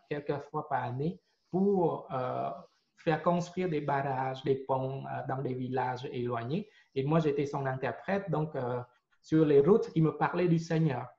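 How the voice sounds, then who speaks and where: A man's voice: fairly high pitch, a little mellow. A man in his forties from Cambodia, a Khmer speaker, telling his faith testimony hesitantly with many fillers and repeated words. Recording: roomy, dull lo-fi sound.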